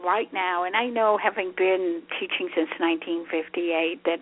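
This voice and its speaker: An older woman hosting a phone interview, reflective and halting, speaking of energy. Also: on the low side. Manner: reflective, talks of energy, slow halting phrasing. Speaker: host. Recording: narrow telephone line.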